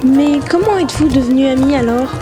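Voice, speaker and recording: A woman playing a naive, gently teasing young traveller in a comic audio drama, with an innocent, questioning manner. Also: sits high, fairly quick, close to an everyday voice. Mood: curious, naive. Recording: music behind.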